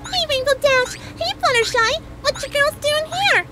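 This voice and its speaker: A woman voicing a bubbly, naive young pink cartoon pony who rambles excitedly. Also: extremely high pitch, normal speed, a little hiss or hum, voice pushed up. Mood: bubbly rambling. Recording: loud music behind the voice.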